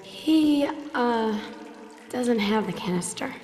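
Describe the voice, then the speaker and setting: Casting: sheepish young daughter for a video game, bright youthful high pitch, roomy echo. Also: female voice, lively intonation, takes it slowly, faint music behind. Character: sheepish, mischievous teenage daughter. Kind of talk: dramatic video game voice acting. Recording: echoey hall, water and faint noise.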